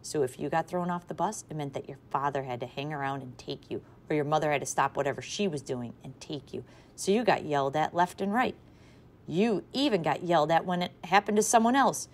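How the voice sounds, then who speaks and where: A woman teacher reading a chapter book aloud to her class in a lively, expressive way. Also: deep voice, fairly quick, a little hiss or hum.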